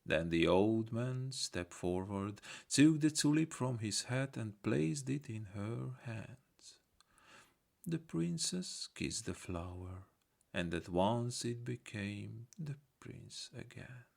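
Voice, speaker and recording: Man narrating a folktale, gravelly creaky voice. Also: medium pitch, animated, slow pace, darkish tone. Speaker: storyteller, audiobook narrator.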